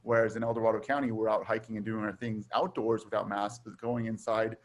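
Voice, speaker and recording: A middle-aged man from Taiwan, raised in Southern California, chatting as a guest in a relaxed way with frequent fillers. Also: fairly low pitch, a little fast. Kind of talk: casual conversation.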